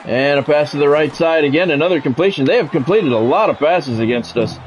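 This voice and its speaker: A man's voice: fairly high pitch, brisk, lo-fi sound, slight background noise. A man streaming a football video game, mixing sports play-by-play with casual, salty chat to viewers and swearing freely.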